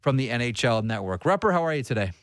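A man speaks as a sports talk host in a casual, conversational style. His pitch sits in the middle range, and he shares opinions freely. The sound is dry and close, with little room on it. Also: big pitch swings, fast talker, no echo. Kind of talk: casual hockey talk and interviewing.

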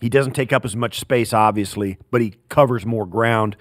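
A man's voice: medium pitch, normal speed, dry recording, studio quality. A man, a former player, giving casual, unscripted football analysis with frequent fillers.

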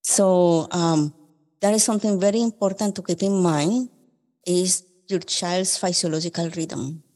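Colombian woman, measured, in a reverberant room. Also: on the low side, fairly even intonation, dark tone. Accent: Colombian Spanish. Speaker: occupational therapist guest. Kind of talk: podcast conversation, explaining.

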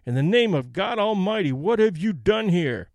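A man's voice: sits high, animated, moderate pace, darkish tone, no echo, pitched above the performer's own voice. A man acting a roaring, furious general. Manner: roaring, cursing, indignant. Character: furious male general, commanding and outraged.

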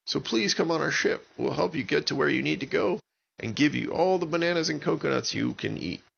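A man's voice: a little high, fairly quick, creaky, fairly bright tone, lo-fi sound, slight background noise, voice pushed up. A man voicing a kindly, cheerful pirate captain in a children's story, with earnest, simple, repetitive lines. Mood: hearty, reassuring. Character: kindly, cheerful pirate captain looking after his crew.

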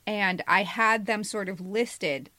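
A woman's voice: medium pitch, slow, pushed and bright, no room sound. Candid woman in her fifties, dry close sound. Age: 50s. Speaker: podcast co-host and writer. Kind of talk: casual personal reflection.

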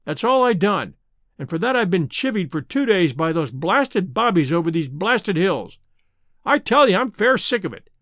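Brief: man putting on a rough whining drifter, high and lively, dry mic. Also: moderate pace, dry recording. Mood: surly. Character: surly down-on-luck man faking a rough persona.

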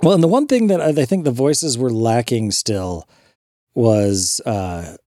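A man's voice: mid-range voice, expressive, measured pace, mellow, no room sound, studio quality. A man co-hosting a podcast, explaining things in casual chat, laughing often and swearing casually. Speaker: podcast co-host.

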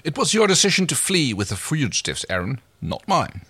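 A smooth, scheming male ex-commander, voiced by a man reading aloud pleads his case with theatrical earnestness. The pitch sits above the reader's own and swings widely. The sound is bright and dry, with no room around it. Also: sing-song, fairly quick, no echo, exaggerated voice. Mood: persuasive.

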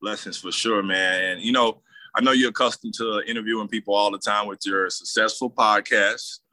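A man interviewing a friend in a casual, hyped, slangy way, with loud exclamations. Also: lowish voice, steady tone, moderate pace. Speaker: guest interviewer.

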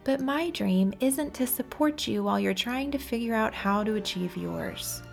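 A woman voicing a guilty, hesitant young woman in a story, halting and pleading as she speaks to her fiancé. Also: fairly high pitch, animated, gravelly, faint music behind, voice pushed up. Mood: earnest, pleading. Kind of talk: dramatic audiobook character voice.

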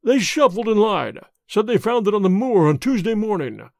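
A man voicing an agitated middle-aged male headmaster in an audiobook, speaking with excited, breathless relief. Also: high voice, moderate pace, gravelly, dry recording, clean studio sound, voice pushed up, heavily acted.

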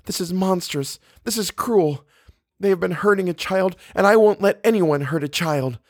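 Man voicing a frightened, defiant woman, pitched high. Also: expressive, slow pace, a little mellow, no room sound, studio quality. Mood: anguished, indignant. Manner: intense, emphatic inner monologue breaking into cries. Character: terrified woman, desperate, defiant, fighting to stay rational.

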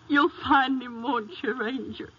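High-voiced, insistent country daughter. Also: female voice, slow pace, slightly rough, soft background music, heavily acted. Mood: distraught. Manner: insistent, plain-spoken, folksy. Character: bossy, anxious grown daughter of an old man. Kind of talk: 1950s radio western acting. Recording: muffled, noisy old radio broadcast.

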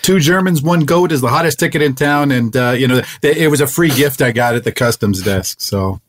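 A man's voice: mid-range voice, brisk, a bit bright. A man performing improv comedy, flustered and theatrical.

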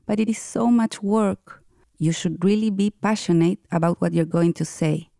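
A woman from Chile, a podcast editor, giving direct, steady advice. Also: lowish voice, normal speed, creaky, no echo, studio quality. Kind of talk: advice, read from a script.

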